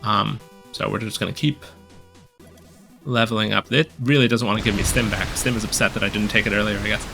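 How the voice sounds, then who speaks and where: A man with a low voice talks through a game build, slightly hoarse from a cold. His voice carries a gravelly fry and many relaxed ums. Loud game music plays underneath. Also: fairly quick. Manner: relaxed, explanatory, frequent ums. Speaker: gameplay guide commentator. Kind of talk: casual gameplay commentary.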